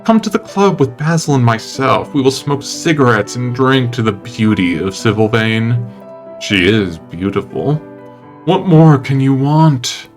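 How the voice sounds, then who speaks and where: A man's voice: medium pitch, animated, unhurried. Worldly aristocrat, played by a male narrator, cynical and languid, witty audiobook dialogue over music. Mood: languid, amused. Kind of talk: dramatic audiobook character reading. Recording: music under the voice.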